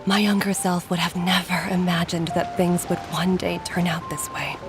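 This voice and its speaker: A woman's voice: fairly low pitch, flat delivery, normal speed, over music, close to an everyday voice. A woman playing a weary, caring doctor and mother in a video game, with a wistful, earnest manner. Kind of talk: scripted video game voice acting.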